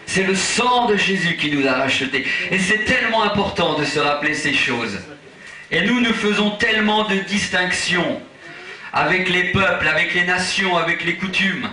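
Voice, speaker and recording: A man from Portugal, an evangelist preaching at a church conference, impassioned and rising to shouts with chuckling asides. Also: high-pitched, expressive, moderate pace. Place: large church hall. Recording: echoey hall, voice from far off.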